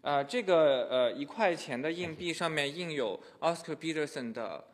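A man's voice: a little high, animated, moderate pace. A man interpreting a sermon into another language in a church hall, hesitant and full of fillers. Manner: hesitant, many filler sounds. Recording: echoey hall.

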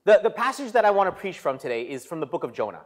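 Quick, higher-pitched male preacher in a ringing hall. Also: big pitch swings. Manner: stop-start phrasing with repeated words, light self-deprecating humor. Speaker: guest preacher. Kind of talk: sermon delivered through an interpreter. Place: church sanctuary. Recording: reverberant church hall.

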